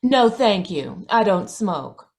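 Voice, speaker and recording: A woman's voice: mid-range voice, very animated, takes it slowly, darkish tone. A woman playing a huffy, affronted lady customer in an old-time radio mystery.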